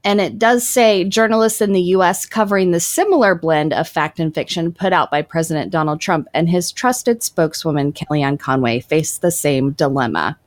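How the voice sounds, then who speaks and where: A woman with a lowish voice co-hosts a casual podcast chat, reading passages from articles aloud. She jokes wryly about stumbling over names. The sound is dry and close, with no room echo. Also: normal speed, hardly any pauses. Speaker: podcast co-host.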